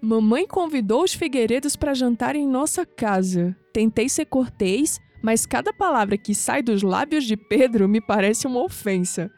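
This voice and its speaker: A woman's voice: on the high side, lively intonation, moderate pace, few pauses, a little mellow, no room sound. An actress playing a curious young Brazilian woman in an audio drama. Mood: earnest, romantic reading. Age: young adult. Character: curious young woman uncovering family secrets, sceptical.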